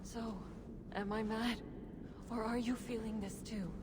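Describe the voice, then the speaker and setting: A woman's voice: on the high side, flat delivery, a little slow, noisy background, lightly acted. An actress playing an earnest, determined female giant in love, in a fantasy video game cutscene. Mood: hopeful.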